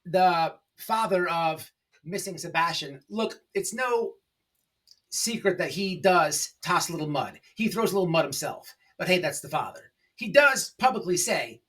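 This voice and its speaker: A man hosting an informal opinion commentary, speaking in a halting, repetitive, emphatic and sarcastic way. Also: high voice, expressive, a bit bright, recorded from a distance. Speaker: online commentary show host.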